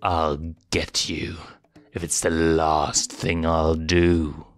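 A man voicing a bitter old male animal villain with a bad back, speaking in a slow, bitter growl. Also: extremely low pitch, flat delivery, exaggerated voice. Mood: vengeful.

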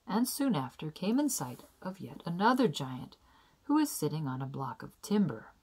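A young adult woman reading a children's fairy tale aloud in a deliberate, careful storybook manner. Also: lowish voice, husky. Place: small indoor room.

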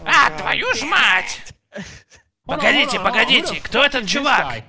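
Man, very high voice, excitable jokey gaming chat, music underneath. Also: measured pace, creaky. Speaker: voice-over dubber of a gaming group. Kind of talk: comic gaming chat dub.

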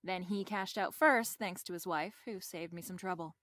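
A woman's voice: fairly low pitch, moderate pace, fairly bright tone, no room sound, clean studio sound, natural voice. An actress playing a wry, confident female private detective in a radio drama, with a breezy, slangy, wisecracking delivery.

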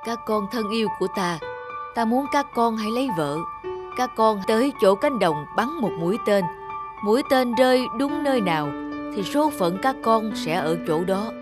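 A woman's voice: on the high side, animated, moderate pace, music in the background, close to an everyday voice. A woman putting on a stately old king. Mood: commanding. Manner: formal, commanding. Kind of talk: storybook cartoon dialogue.

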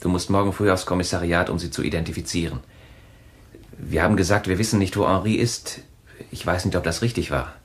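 A nervous careful lover in a radio thriller, low husky voice, kinda urgent and hesitant. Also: male voice, brisk. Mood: tense, guarded. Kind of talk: German radio thriller acting.

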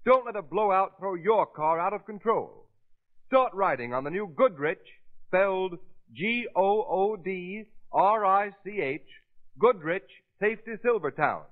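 A man's voice: sits high, lively intonation, a little slow. A man announcing in a polished, emphatic, dramatic 1930s radio style, reading from a script. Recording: thin, phone-like 1930s radio recording.

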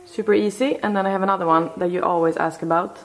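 A woman's voice: fairly low pitch, steady tone, quick pace. A young woman with a Swedish accent chatting casually to her handheld camera as a vlogger. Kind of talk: casual vlog chat.

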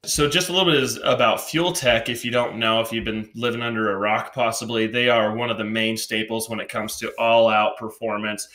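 Upbeat bright-voiced host in a roomy garage. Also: male voice, on the low side, fairly even intonation, a little fast, hardly any pauses. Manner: upbeat, enthusiastic. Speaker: show host. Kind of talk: casual podcast chat. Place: garage studio indoors. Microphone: headset.

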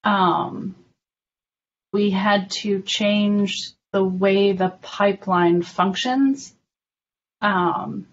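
A woman explaining things informally in an online meeting, measured and a little hesitant as she goes. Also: mid-range voice, fairly even intonation. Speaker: documentation working group member presenting. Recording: dull lo-fi online call with faint noise.

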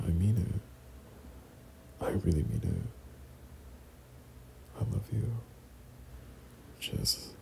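A young man playing an affectionate boyfriend in intimate ASMR roleplay, breathy and half-whispering, speaking hesitantly. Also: low voice, a little hiss or hum, full character voice. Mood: tender. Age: young adult. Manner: slow, hesitant, soft chuckles between phrases. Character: tender, affectionate young boyfriend talking to his partner. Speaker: audio roleplay performer.

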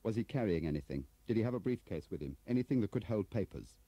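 A stern upper-class British official, acted by a male audiobook narrator, curt and commanding, with anger in him. The tone is dark and the sound very dry and close. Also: lowish voice, moderate pace, no room sound, voice pushed up, lightly acted. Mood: urgent.

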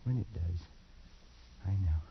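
A man's voice: sits low, a little slow, slight background noise. An actor playing a tormented, cursed Englishman near madness in an old radio drama, agitated and feverish. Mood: haunted, resigned. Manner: agitated, feverish, swinging from brooding to frantic. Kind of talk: 1940s radio drama acting. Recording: muffled old radio recording.

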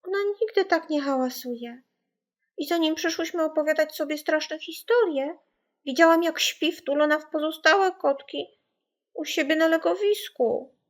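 A woman's voice: high-pitched, moderate pace, pitched above the performer's own voice, close to an everyday voice. A woman voicing a nervous, earnest young girl in a children's audiobook. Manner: uneasy, earnest.

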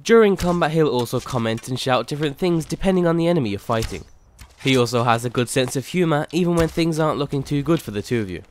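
A man's voice: a little high, animated, fast, background noise. A man reading scripted narration as a video game mod reviewer, in an explanatory way.

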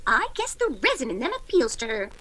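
A woman's voice: on the high side, huge pitch swings, a little fast, bright, forceful tone, full character voice. A woman playing a forgetful, kindly older landlady in an old-time radio comedy, scatterbrained and fluttery. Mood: puzzled, fond. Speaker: actor in a radio play recreation.

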